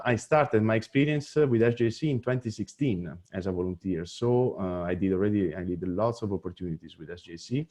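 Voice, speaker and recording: A man's voice: deep voice, dark tone. A man with an Italian accent introducing himself on a webinar, hesitant and repeating phrases. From Italy. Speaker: deputy executive director. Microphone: video call.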